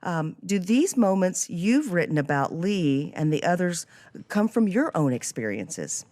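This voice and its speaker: A woman's voice: lowish voice, big pitch swings, measured pace, darkish tone, no echo, clean studio sound. A woman hosting a podcast interview, talking conversationally about a book and faith. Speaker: podcast host.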